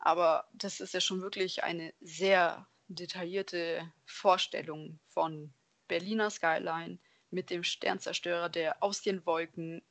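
A woman's voice: lowish voice, steady tone, slow, gravelly, no room sound. Woman guest chatting in a close, dry sound. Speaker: podcast guest, hobby painter. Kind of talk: casual conversational interview answers.

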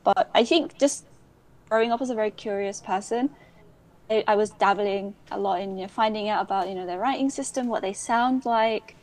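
A woman's voice: medium pitch, normal speed, a little mellow, low-quality recording. A young adult woman, chatting as an interview guest in a rambling, digressive way with many restarts. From United Kingdom. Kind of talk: casual interview chat.

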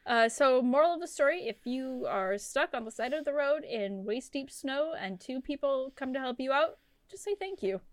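A woman's voice: high-pitched, animated, normal speed, no echo. A woman in her late twenties co-hosting a podcast, rambling and trailing off in casual chat. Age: 20s. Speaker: podcast co-host.

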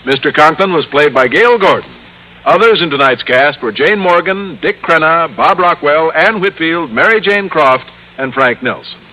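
A man's voice: on the high side, animated, normal speed, sharp tone, low-quality recording. A man reading scripted credits as a formal, projected announcer in 1950s radio style.

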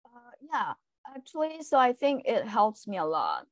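A woman's voice: a little high, wildly swooping pitch, no room sound. Chinese-accented young woman, dry close sound. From China. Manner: hesitant, frequent fillers and pauses. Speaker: guest, translation student. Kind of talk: casual interview answers.

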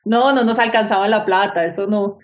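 A woman's voice: mid-range voice, normal speed. A woman, an architect and business owner, answering interview questions earnestly with many fillers. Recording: dull lo-fi sound.

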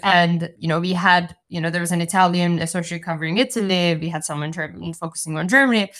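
A woman's voice: lowish voice, steady tone, fast talker, fairly bright tone. A woman from Turkey, a venture capital partner, giving structured, articulate answers in an interview. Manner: articulate, structured explanations with frequent "so" and "you know".

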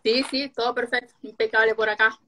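A woman's voice: a little high, level tone, brisk, sharp tone, a little hiss or hum, low-quality recording. Brazilian woman with a Rioplatense accent. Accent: Uruguayan-Argentine Spanish. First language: Portuguese. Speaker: host. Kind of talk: casual live-stream chat.

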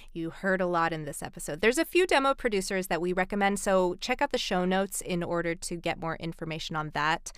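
A woman's voice: lowish voice, a bit bright, clear, resonant tone, dry recording, clean studio sound. A woman hosting a podcast and giving informative, casual advice as a coach. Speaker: podcast host, voice acting coach.

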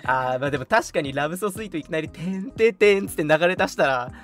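Lively young man, animated pitch swings. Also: fast talker, soft background music. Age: young adult. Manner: playful, mock-indignant retorts to teasing. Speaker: radio show host. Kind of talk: casual chat on a livestream radio show.

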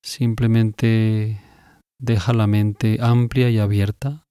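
A man calmly and gently guiding a meditation, instructing with long pauses between phrases. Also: on the low side, clean tone, dry recording, clean studio sound. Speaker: meditation guide. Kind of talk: guided meditation.

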